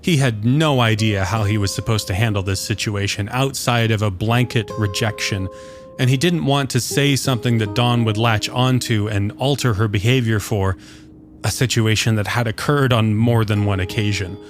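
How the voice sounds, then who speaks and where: A man's voice: on the low side, normal speed. A man reading scripted fiction as the narrator of a story. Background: soft guitar music.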